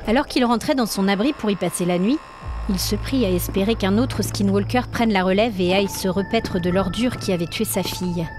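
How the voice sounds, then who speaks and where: A woman's voice: medium pitch, a little fast, background noise. A woman, giving dramatic scripted narration of a supernatural story. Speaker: narrator and podcast host. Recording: music bed under narration. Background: music.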